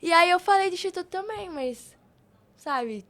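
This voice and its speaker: A woman's voice: extremely high pitch, animated, moderate pace, fairly bright tone. A young Brazilian woman telling personal stories in a casual, informal podcast conversation, often acting out bits of quoted dialogue. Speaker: podcast guest.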